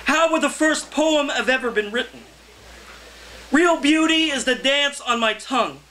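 A young man, a poet, reading his verse aloud live in a loud, theatrical, impassioned delivery. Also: extremely high pitch, animated, normal speed, slight background noise, rough recording. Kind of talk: live poetry reading, declaimed. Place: indoor cafe reading before a small audience.

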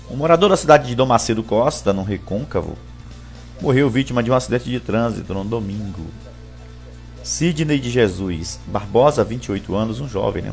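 A man's voice: on the low side, creaky, darkish tone. A man from Brazil, presenting a local news bulletin live on a headset microphone. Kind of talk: reading local news, greeting viewers live.

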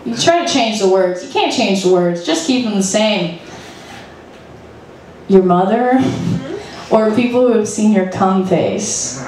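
A woman's voice: medium pitch, sing-song, unhurried, pauses often, darkish tone, slight background noise. Rambling young woman comic in a roomy club. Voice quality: loose, rambling, laughs at own jokes. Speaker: stand-up comic. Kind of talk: open mic stand-up. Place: small club stage with red curtain. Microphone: handheld stage mic, heard through PA. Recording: roomy club PA heard from far off.

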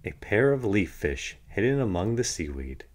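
A man reading a picture book aloud for children in an expressive narrating style. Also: on the low side, moderate pace. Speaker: children's storybook reader.

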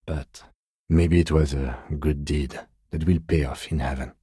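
An actor playing a composed, weary police detective in a video game, with dry, deadpan, measured delivery. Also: male voice, very deep voice, breathy edge, no echo, exaggerated voice. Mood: grave, composed.

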